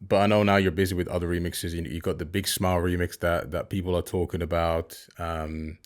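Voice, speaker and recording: Deep-voiced man hosting an interview. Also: level tone, fairly quick. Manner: friendly, calls guest bro. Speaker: host. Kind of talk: casual interview chat.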